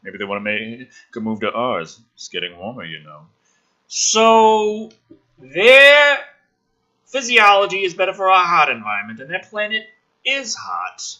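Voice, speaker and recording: Male review host, higher-pitched and piercingly bright, for emphatic script reading and exasperated, sweary ranting. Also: expressive, a little slow, highs cut off. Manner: ranting, incredulous, profane; theatrical, emphatic.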